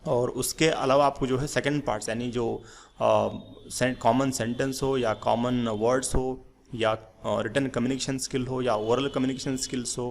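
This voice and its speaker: Gravelly man teaching a lesson. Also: medium pitch, steady tone, moderate pace. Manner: rambling with many fillers and restarts. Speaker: English teacher. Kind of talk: slide-based lesson.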